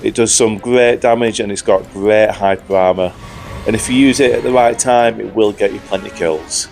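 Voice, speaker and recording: Hoarse man commenting on gameplay. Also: on the low side, fairly even intonation, moderate pace, over music. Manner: upbeat, chatty, says "guys" often. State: hoarse from a cold. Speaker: gaming YouTuber.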